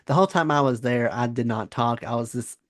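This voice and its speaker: A man's voice: medium pitch, fairly quick, fairly bright tone. A young man in his twenties hosting a podcast, chatty and self-deprecating. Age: 20s. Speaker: podcast host. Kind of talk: casual interview chat.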